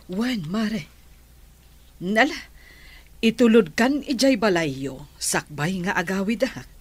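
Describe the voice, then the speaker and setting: Radio drama confidante: middle-aged, sensible, medium-pitched, measured advisory tone. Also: female voice, lively intonation, a little mellow, slightly rough, no echo. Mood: friendly, concerned. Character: sensible middle-aged woman friend giving calm advice.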